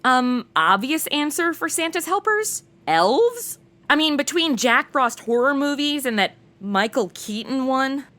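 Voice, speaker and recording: Young, sassy modern gal, played by a woman, high voice, huge pitch swings, casual and irreverent comic delivery. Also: big pitch swings, measured pace, bright, forceful tone, full character voice. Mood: snarky. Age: young adult. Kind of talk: comedic audio drama, modern casual speech.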